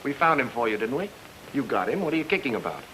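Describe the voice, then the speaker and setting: A man's voice: medium pitch, very animated, quick pace, sharp tone. A man playing a hard-boiled, sardonic private detective in an old-time radio noir, with a wry, clipped way of explaining things. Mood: indignant, sarcastic. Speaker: actor in a radio detective drama. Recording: noisy old radio recording.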